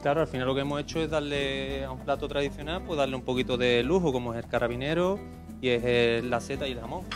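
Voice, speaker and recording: A man's voice: mid-range voice, fast, over music. A man, a chef explaining a recipe step by step. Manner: explaining steps plainly. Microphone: reporter's handheld mic.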